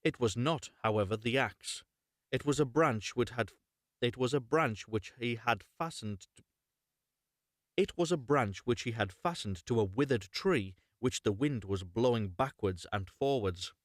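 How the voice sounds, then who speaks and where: A man narrating a storybook live and unrehearsed, in a measured storytelling manner with occasional stumbles. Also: mid-range voice, animated, no room sound, studio quality. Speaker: storyteller, voice-over reader.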